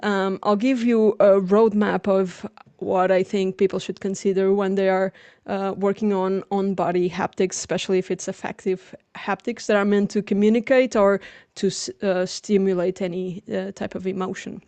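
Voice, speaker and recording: A woman's voice: mid-range voice, flat delivery, moderate pace, mellow, no room sound. A young adult woman with a Portuguese accent, a native Portuguese speaker, giving an informal academic lecture. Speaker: lecturer, PhD researcher.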